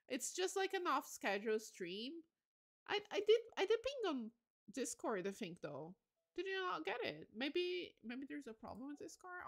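Excitable woman streamer with very lively pitch. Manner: excitable, gushing, exclaims often. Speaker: live streamer. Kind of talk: casual game livestream chat with viewers.

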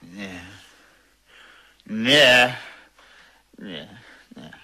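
An actor playing a desperate, pleading drunkard in a radio drama, speaking haltingly and repeating himself in a husky, gravelly voice. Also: male voice, on the low side, expressive, bright, forceful tone, exaggerated voice. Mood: desperate refusal. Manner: halting, repetitive. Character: desperate, pleading drunkard begging for one drink. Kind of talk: 1970s Polish radio drama acting.

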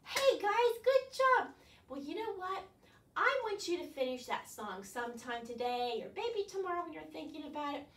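High-pitched older woman leading storytime. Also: normal speed. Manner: animated, instructing, with frequent questions to children. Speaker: children's librarian leading storytime. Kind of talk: children's storytime, addressing young viewers. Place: small living room, indoors. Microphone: far camera. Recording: roomy, distant camera microphone.

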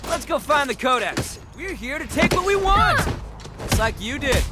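Casting: swaggering gang boss for an action game, emphatic and sarcastic, very lively range, music underneath. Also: male voice, very high-pitched, moderate pace. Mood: fired up. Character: brash, swaggering, foul-mouthed male gang boss. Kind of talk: video game voice acting.

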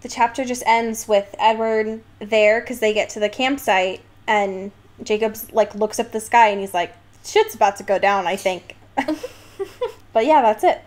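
A woman co-hosting a podcast, chatting casually about a book in an expressive, slangy way with exasperated humour. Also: medium pitch, normal speed. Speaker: podcast co-host. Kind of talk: casual book discussion chat.

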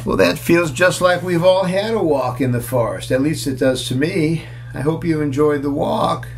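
A man in his sixties reading a picture book aloud to children, playful and expressive, with casual asides as he goes. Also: on the high side, normal speed, gravelly, faint music behind. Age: 60s. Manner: expressive, playful, inviting listeners along. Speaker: children's storyteller. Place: small room at home, indoors.

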